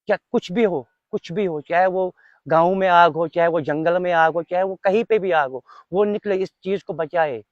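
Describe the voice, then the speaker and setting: A man's voice: high-pitched, normal speed, no room sound. Young male, Kashmiri accent, earnest interviewee repeating phrases for emphasis; dry, slightly lo-fi recording. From Kashmir, India. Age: young adult. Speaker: volunteer being interviewed. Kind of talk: unscripted interview answers.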